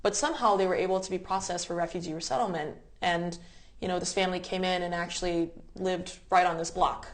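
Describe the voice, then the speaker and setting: A woman's voice: on the low side, steady tone, fairly quick. A young woman in her twenties from the United States, telling personal stories in a thoughtful, explanatory way as an interview guest. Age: 20s. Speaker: interview guest, refugee resettlement advocate. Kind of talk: reflective personal storytelling in conversation.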